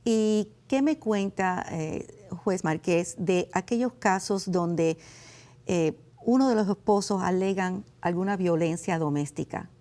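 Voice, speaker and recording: A woman lawyer hosting a legal television program, speaking in a formal, measured way as she introduces and questions guests. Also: lowish voice, mellow. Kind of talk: scripted TV hosting and interviewing.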